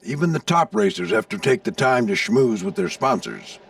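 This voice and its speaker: A seasoned military airplane in an animated game, a folksy mentor with natural authority. His voice is gravelly and his pitch moves a lot as he spins his tales. The sound is very dry. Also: moderate pace, dry recording, far from the mic, heavily acted. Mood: storytelling. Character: seasoned male navy plane, gruff mentor and storyteller. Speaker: commanding instructor recounting past races.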